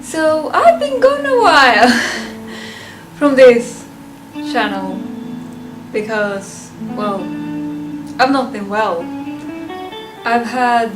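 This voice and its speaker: A woman's voice: a little high, poor audio. A young woman talking casually and chattily to her viewers as a video reviewer, giving commentary on a list. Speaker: YouTube reviewer.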